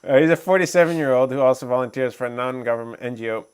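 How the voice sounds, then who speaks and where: A man co-hosting a casual livestream who chats loosely, laughs often and reads a news article aloud haltingly. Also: medium pitch, clear, resonant tone. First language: English, Fijian not first language. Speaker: livestream co-host.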